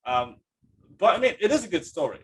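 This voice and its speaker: Man, fairly high voice, podcast host, casual chat, enthusiastic, rambling. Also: very animated, brisk, poor audio.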